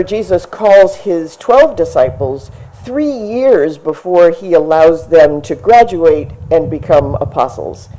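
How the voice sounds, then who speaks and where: Middle-aged woman pastor preaching outdoors at a lectern mic; unhurried and measured, with a mellow voice that rises for emphasis. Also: lowish voice, slight background noise, rough recording. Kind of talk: sermon. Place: outdoor tent service in front of a brick church. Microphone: stand mic at a lectern, far camera.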